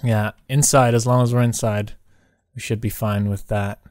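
A man chatting casually and excitably while playing a co-op game, joking and exclaiming as he goes. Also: on the low side, normal speed, no room sound, clean studio sound. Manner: jokey, exclaiming. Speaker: gamer playing a co-op roleplay map. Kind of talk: excitable casual gameplay chat.

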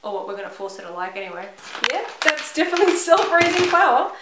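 A woman's voice: high-pitched, sing-song, fast. A woman in her twenties with an Australian accent, giving a casual, joking, improvised cooking demonstration. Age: 20s. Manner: joking, offhand. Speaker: cook in a how-to video. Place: small home kitchen, indoors. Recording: noisy echoey kitchen, clattering dishes.

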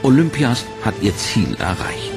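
Gravelly male documentary narrator. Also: mid-range voice, very animated. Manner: measured, dramatic storytelling. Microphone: studio voice-over mic. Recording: music bed under narration.

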